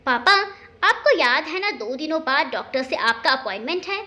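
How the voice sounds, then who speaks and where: Cheerful young married daughter, voiced by a woman narrator; high, bright, affectionate, reassuring. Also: animated, normal speed. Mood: affectionate reminding.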